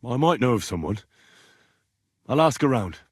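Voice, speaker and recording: A courteous young medieval man-at-arms for a video game. His mid-range voice is husky and moves expressively up and down. He speaks plainly and earnestly, deferring to his betters, in a very dry recording. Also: mid-range voice, sing-song, normal speed, dry recording. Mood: grave, matter-of-fact. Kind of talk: video game character dialogue.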